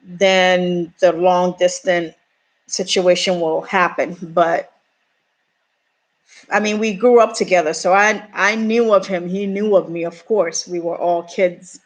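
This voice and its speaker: Casting: woman in her 40s, slow hesitant vlog storytelling, lowish voice. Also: fairly even intonation, poor audio. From Ghana. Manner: slow, hesitant, many pauses and fillers. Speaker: YouTuber.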